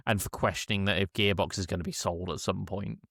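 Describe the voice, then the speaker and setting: A man's voice: low voice, fairly even intonation, fast, gravelly, fairly bright tone, no room sound, studio quality. A man hosting a casual film review chat, blunt and joking, who swears freely. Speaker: podcast host.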